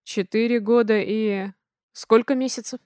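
Женщина, читающая за напряжённого взрослого мужчину. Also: female voice, a little high, steady tone, unhurried, a little mellow, clear, resonant tone, no room sound, clean studio sound, close to an everyday voice. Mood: soft, stunned. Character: grown man, tense, guilty ex-lover.

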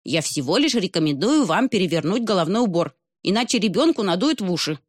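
A woman's voice: mid-range voice, sing-song, fairly quick, husky, dry recording, studio quality. A woman voicing an old male albatross, a fussy, formal and deferential nanny. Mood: courteous.

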